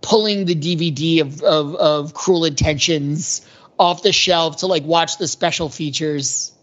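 A man's voice: fairly high pitch, measured pace. Muffled lo-fi american guy, middle aged, rambly podcast chat, says like a lot. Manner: rambling, peppered with "like". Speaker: podcast co-host. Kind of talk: casual chat, reminiscing. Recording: dull, lo-fi audio.

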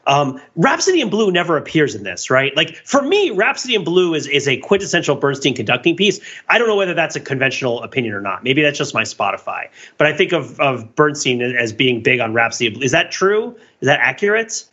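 A man with a bright, forward voice pitched a bit above average chats casually as a podcast panelist. He muses tentatively, circling toward his point. The recording is slightly lo-fi. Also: big pitch swings, fairly quick, hardly any pauses, breathy edge. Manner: tentative, musing, chuckles through some phrases. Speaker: podcast panelist discussing film. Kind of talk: casual chat, musing commentary.

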